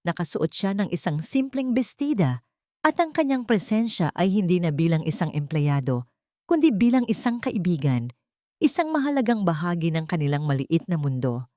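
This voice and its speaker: A woman reading a dramatic love story as a narrator, in emotive, measured phrases. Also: lowish voice, big pitch swings, warm tone, dry recording, studio quality.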